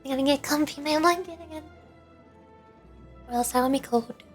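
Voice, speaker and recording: A woman with a very high voice streams as a VTuber, with music playing behind her. She chats casually to her viewers, rambling and repeating words, with playful little exclamations. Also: fairly quick. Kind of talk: casual livestream chat with viewers. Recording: background music.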